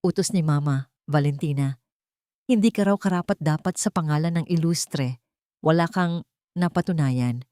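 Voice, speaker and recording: A woman's voice: deep voice, moderate pace, pauses often, mellow, no echo, clean studio sound, lightly acted. A woman voicing a weak, evasive young husband in a story drama, reluctant and cold.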